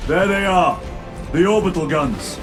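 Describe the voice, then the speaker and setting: An actor playing a stoic, battle-hardened space marine lieutenant in a video game, speaking in a formal, clipped, commanding manner. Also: male voice, high-pitched, animated, unhurried, over music. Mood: stern calm.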